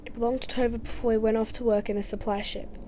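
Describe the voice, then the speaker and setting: A woman's voice: a little high, flat delivery, fast talker, mellow, slight background noise, natural voice. A woman playing an earnest, anxious young researcher in an amateur sci-fi audio drama. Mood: earnest, uneasy.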